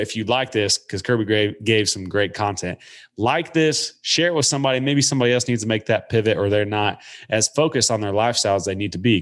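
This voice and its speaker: Male podcast host, nasal and stuffed-up, fairly low voice, upbeat and chatty. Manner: upbeat, chatty, some tongue slips. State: nasal from sinus trouble. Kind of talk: casual podcast chat.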